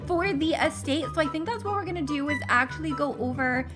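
A woman's voice: sits high, brisk, over music. A young woman hosting a gaming channel, commenting casually with gushing gasps and giggles while losing her voice. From Canada.

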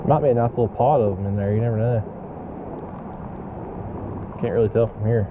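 A man's voice: fairly low pitch, fairly quick, phone-quality sound, very noisy. A man in his thirties vlogging while fly fishing by a mountain river, talking casually and laid-back into an action camera. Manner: laid-back, excitable when fish bite. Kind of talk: casual outdoor chat. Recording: music playing behind.

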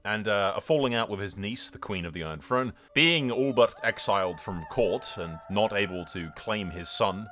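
Animated man narrating game lore theatrically. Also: fairly low pitch. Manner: theatrical, switches between storytelling and sweary casual remarks. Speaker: gaming video host. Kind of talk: strategy game commentary with dramatic role-play lore narration.

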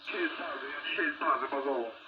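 A man's voice: medium pitch, wildly swooping pitch, fast talker. A man chatting casually as a radio operator, heard over CB radio. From Żory, southern Poland. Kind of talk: casual radio contact chat. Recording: noisy, dull, echoing CB radio reception. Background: loud radio static and clicks.

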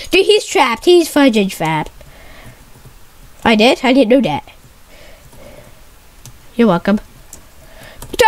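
Very high, lively, excitable woman gamer. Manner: excitable, repeats warnings, says dude constantly. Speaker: YouTube gamer. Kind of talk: live gameplay commentary with a friend.

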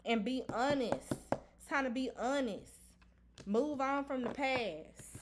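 A woman with a slightly high voice reads tarot cards at a table in a room at home. She speaks bluntly and with emphasis, and she repeats herself as she drives each point home. She swears casually, the way she would in everyday talk. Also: animated, slow pace, noisy background. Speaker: tarot reader. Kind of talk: casual tarot reading to camera.

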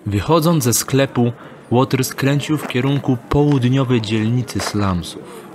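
A man narrating a radio drama in a calm, measured storytelling manner. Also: mid-range voice, background noise. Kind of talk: scripted audio drama narration. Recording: narration over music score.

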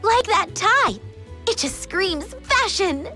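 A very high, lively girl's voice, bubbly and gushing about fashion. She takes her time, breezy as a cartoon game heroine, with music underneath. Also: takes it slowly, heavily acted. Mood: bubbly, upbeat. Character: bubbly fashion-loving teenage girl. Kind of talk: animated game cutscene acting.

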